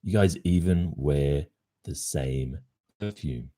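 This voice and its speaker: A man's voice: extremely low pitch, slow, warm tone. A man with an Australian accent acting a cheating, casually evasive young boyfriend in an ASMR roleplay, speaking conversationally with hesitations. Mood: casual, reassuring. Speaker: roleplay performer.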